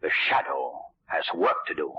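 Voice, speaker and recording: An actor playing a suave, quietly commanding amateur sleuth, grave and authoritative, in 1940s radio mystery style. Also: male voice, a bass voice, steady tone, moderate pace. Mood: composed, commanding. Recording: dull, noisy vintage 1940s radio recording.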